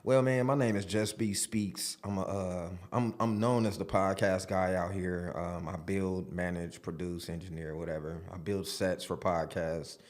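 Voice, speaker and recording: A man's voice: sits low, fairly even intonation, darkish tone. A man of forty, a podcast guest, telling his story openly in a studio with frequent restarts. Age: 40s. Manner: open, storytelling, halting restarts. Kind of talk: casual studio interview.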